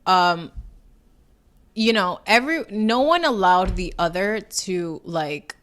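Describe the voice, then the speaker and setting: A woman's voice: medium pitch, lively intonation, takes it slowly, fairly bright tone. A young woman, the younger sister co-hosting a casual chat, blunt and teasing, with casual swearing. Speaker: podcast co-host.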